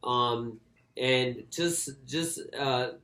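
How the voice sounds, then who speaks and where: A man's voice: mid-range voice, pushed and bright. Man talking boxing in an echoey room. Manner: halting, repeats words and phrases. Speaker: boxing podcast co-host. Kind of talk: casual sports discussion. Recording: echoey, roomy sound.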